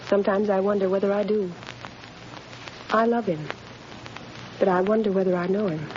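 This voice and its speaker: An actress playing a calm, loyal young woman who speaks gently and reassuringly in a 1930s radio drama. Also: mid-range voice, moderate pace, slight background noise, close to an everyday voice. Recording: muffled 1930s radio recording.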